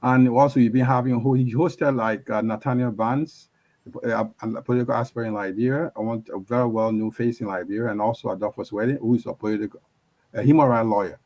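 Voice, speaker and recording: A man with a mid-range voice acts as interviewer on a political programme. His phrasing is halting, and he often restates himself as he presents and prompts. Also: mid-range voice, steady tone, a little mellow, rough recording. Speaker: host, interviewer. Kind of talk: hosting a political online interview.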